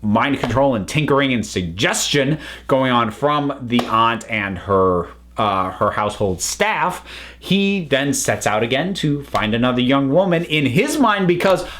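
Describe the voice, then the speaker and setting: A man's voice: medium pitch, animated, measured pace, a bit bright, a little hiss or hum. A man giving a casual, unscripted review, chatty and rambling as the host.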